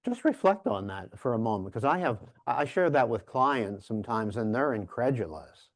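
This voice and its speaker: A man giving a reflective, informal conference lecture, halting and repeating words as he emphasizes key phrases. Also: mid-range voice, sing-song, no echo. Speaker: professor giving a keynote workshop.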